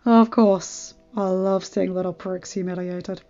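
A woman's voice: mid-range voice, steady tone, a little slow, warm tone, lo-fi sound, lightly acted. A woman voicing a cool, casual, reassuring man, a superhero mentor, in an audiobook story with an offhand confidence. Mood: amused. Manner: laid-back, offhand, confident. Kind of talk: fan fiction audiobook character voice. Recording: band-limited audio with background music.

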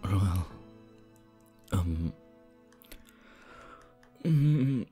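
Guy doing a shy awkward wolf boy for asmr roleplay, really deep and slow, soft stammery and sorry about everything, some music under it. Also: lively intonation, exaggerated voice. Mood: embarrassed, apologetic. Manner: hesitant, stammering, soft-spoken. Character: shy, awkward young male anthropomorphic wolf student, gentle and apologetic.

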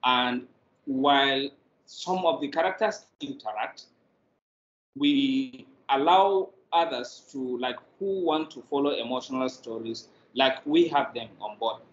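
A middle-aged Nigerian man explains things in an interview over a video call. His pitch is mid-range, and the sound is roomy and a little muffled. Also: measured pace, a little hiss or hum. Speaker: guest, drama producer. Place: indoor room, video call.

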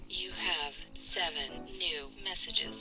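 A woman playing an impersonal, measured automated answering machine announcer in a video game. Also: low voice, loud music behind the voice, poor audio. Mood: flat, neutral. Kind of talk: scripted system announcement.

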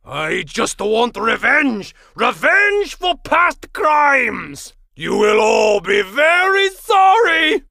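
Crazed, shrill, swooping villain chef. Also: male voice, vocal fry, bright, forceful tone, no echo, studio quality, exaggerated voice. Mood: exasperated, vengeful. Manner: melodramatic, ranting, menacing, broken clipped English. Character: crazed, vengeful chef obsessed with exposing an octopus. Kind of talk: over-the-top comedic video game villain acting.

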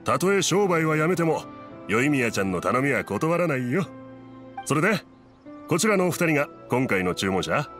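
Kindly middle-aged merchant, expressive delivery. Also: male voice, fairly high pitch, moderate pace, soft background music. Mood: genial. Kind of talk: Japanese anime game voice acting.

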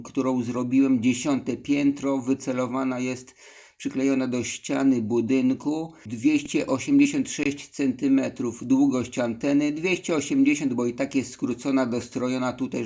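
A man's voice: medium pitch, vocal fry, darkish tone. Man with dull, lo-fi sound, ham radio chat. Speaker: amateur radio operator. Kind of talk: casual ham radio contact.

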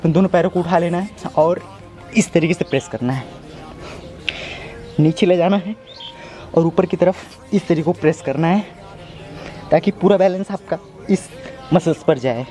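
A man in his twenties talks viewers through a home workout in a fairly high voice. He is casual and instructive, repeating key phrases as he demonstrates. Music plays clearly behind him. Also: a little mellow. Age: 20s. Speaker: amateur home fitness instructor. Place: outdoors in a brick-walled yard or rooftop.

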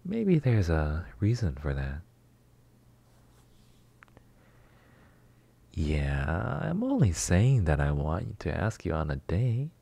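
Man playing a husky, slow-talking flirty neighbor. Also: sits low, wildly swooping pitch, mellow, dry recording, full character voice. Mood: shy, flustered. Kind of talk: intimate roleplay ASMR.

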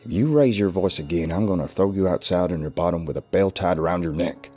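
A man's voice: low voice, lively intonation, normal speed, gravelly, a little mellow, husky, soft background music, exaggerated voice. A man with a Southern US accent voicing a stern, ominous older recluse.

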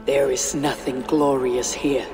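A woman's voice: very deep voice, little pitch movement, takes it slowly, creaky, over music, exaggerated voice. A woman playing a stern, weary Viking warrior in a video game, terse, blunt and grim. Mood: grim disapproval. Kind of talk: dramatic video game acting.

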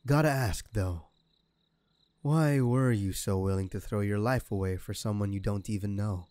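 Male crime villain, acted by a man, low mellow voice, smug menace, mocking, theatrical, audio roleplay. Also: no echo, close to an everyday voice. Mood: smug, courteous. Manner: mocking, theatrical, drawn-out delivery. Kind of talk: scripted ASMR audio roleplay.

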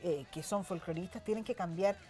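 A woman's voice: on the low side, a little fast, a little mellow. A woman with a Chilean accent hosting a chatty television interview in a studio, speaking in an effusive, exclaiming way. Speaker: television host. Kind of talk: chatty talk show interviewing.

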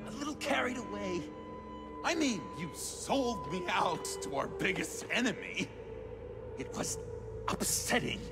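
Manic vengeful video game clown villain, creaky, slow, drawn-out delivery, theatrical, erratic. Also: male voice, sits high, exaggerated voice. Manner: theatrical, erratic, swinging from chummy to menacing. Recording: loud music behind.

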